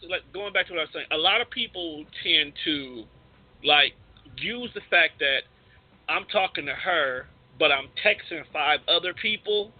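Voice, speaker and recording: A man co-hosting a casual radio discussion, speaking bluntly in slangy, profane language. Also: fairly high pitch. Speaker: radio show co-host. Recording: tinny, band-limited phone-line sound.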